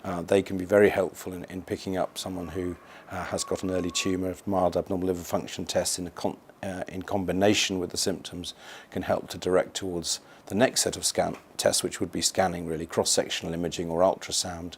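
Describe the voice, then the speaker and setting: Deep, gravelly man, monotone delivery. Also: a little fast, slight background noise. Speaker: hospital specialist doctor. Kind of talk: explanatory interview answers for an educational film.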